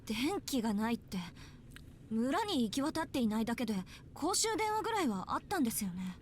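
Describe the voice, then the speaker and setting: A stern, high-voiced woman. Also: big pitch swings, very fast, a little hiss or hum. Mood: exasperated. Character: stern, exasperated, sharp-tongued professional woman. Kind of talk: Japanese visual novel voice acting.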